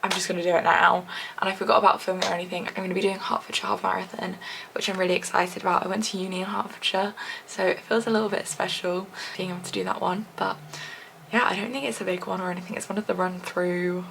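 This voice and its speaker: A young English woman vlogging casually to a handheld camera, chatty and rambling, her voice a little husky and deep, sometimes dropping to a soft whisper. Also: level tone, fast, slight background noise, poor audio. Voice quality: slightly deep, husky morning voice. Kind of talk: casual vlog chat to camera. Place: at home and outdoors after a run. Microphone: small handheld camera.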